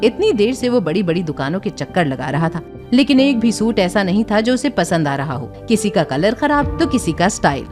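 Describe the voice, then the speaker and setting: A woman's voice: medium pitch, a little mellow. A woman narrating a scripted audio story in a dramatic storytelling style.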